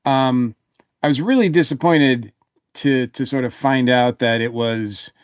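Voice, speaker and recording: A man speaking in a halting, think-aloud way as a panelist in a casual podcast review. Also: mid-range voice, clean tone, no room sound, studio quality.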